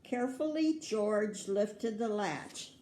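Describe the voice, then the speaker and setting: Older woman, American accent, children's story reader, unhurried delivery, soft mellow tone, grandmotherly, roomy echo. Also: medium pitch, lively intonation, a little hiss or hum. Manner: grandmotherly, prompts young listeners with questions. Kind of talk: read-aloud picture book storytelling with asides to children. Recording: roomy, echoing indoor sound.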